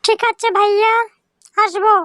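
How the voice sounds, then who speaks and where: Very high, bright young woman acted by a woman. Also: flat delivery, normal speed, no echo, studio quality. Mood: polite. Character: polite young village woman, soft-spoken and dutiful. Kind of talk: cartoon dialogue.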